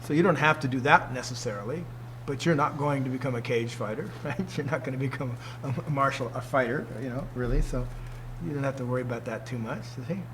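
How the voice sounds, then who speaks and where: A man's voice: medium pitch, quick pace, noisy background. A man in his sixties, a martial arts teacher, giving an informal talk to students, emphatic and fond of rhetorical questions. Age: 60s.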